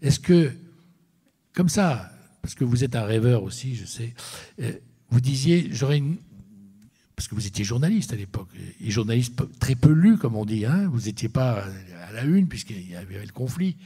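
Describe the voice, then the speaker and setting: A man's voice: medium pitch, very animated, moderate pace, mellow, breathy edge. A man interviewing an author onstage, often finishing his guest's sentences. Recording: long reverb of a hall.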